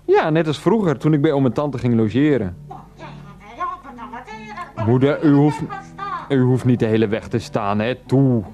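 An actor playing an exasperated middle-aged husband and son in a radio play, flustered, repetitive and placating. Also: male voice, mid-range voice, expressive, measured pace, long pauses, over music, natural voice. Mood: pleading.

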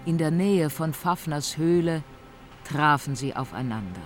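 A narrator of a fantasy audio drama with a mid-pitched voice. The delivery is slow and dramatic, like scripted storytelling, with music clearly playing underneath. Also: expressive. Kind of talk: scripted radio play narration.

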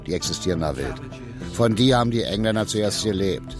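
A know-it-all working-class barfly acted by a man in a radio play, low-voiced and emphatic. He explains things, with music behind him. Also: expressive, fairly quick, natural voice. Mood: boastful, instructive. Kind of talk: radio drama dialogue.

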